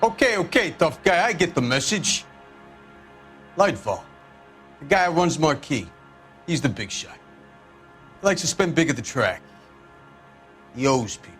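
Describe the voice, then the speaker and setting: A man's voice: fairly high pitch, very animated, measured pace, pauses often, fairly bright tone, soft background music. An actor playing a defiant, evasive crooked mechanic in a video game, sarcastic and wheedling like a cornered wise guy. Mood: grudging. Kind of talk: cinematic video game acting.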